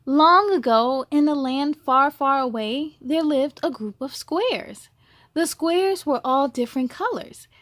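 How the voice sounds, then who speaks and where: A woman reading a children's story aloud as host, expressive and exclamatory, speaking to young listeners. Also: high voice, dry recording. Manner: slow, deliberate, exclamatory delivery for children.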